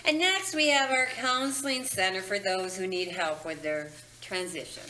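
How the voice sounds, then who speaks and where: A woman reading the part of a brisk, matter-of-fact ghost supervisor at a screenplay table read. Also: mid-range voice, bright, forceful tone, natural voice, low-quality recording. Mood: businesslike. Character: brisk deadpan female ghost supervisor at an afterlife agency.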